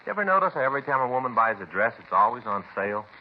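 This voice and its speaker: A man's voice: medium pitch, big pitch swings, racing, natural voice. A man playing a fretful, rambling American police detective in a 1950s radio drama, folksy and plaintive in his long-winded way of talking. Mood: chatty, rambling. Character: fretful, rambling married male police detective, aggrieved sidekick. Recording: muffled, hissy old radio broadcast.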